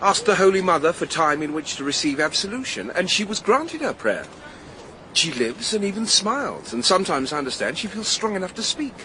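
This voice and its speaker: A man's voice: high voice, big pitch swings, fairly quick, bright, forceful tone, a little hiss or hum, exaggerated voice, low-quality recording. An actor playing a naive, pious, smitten unmarried man, earnest and reverent, in a radio drama. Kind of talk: naturalistic radio drama acting.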